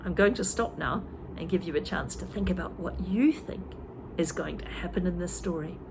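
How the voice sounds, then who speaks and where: A woman's voice: on the low side, moderate pace, creaky. Woman teacher, storybook reading, chatty asides, faint background noise. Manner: chatty asides to young listeners. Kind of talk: reading a children's book aloud.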